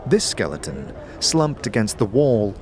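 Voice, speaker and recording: A man's voice: on the low side, very animated, a little slow, a little mellow. A man giving scripted fantasy narration as a game master, in a descriptive storytelling manner.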